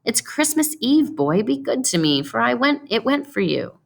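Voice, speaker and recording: Woman playing an eager, pleading young wife, lifted pitch. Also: very animated, normal speed, gravelly, full character voice. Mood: pleading, insistent. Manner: bright pleading exclamations. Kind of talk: storybook read-aloud character voice.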